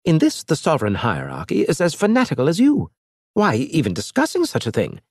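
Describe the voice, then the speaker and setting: A man voicing a cunning, fussy alien male trader, raising his voice high and indignant. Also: wildly swooping pitch, fairly quick, husky, no room sound, clean studio sound, heavily acted.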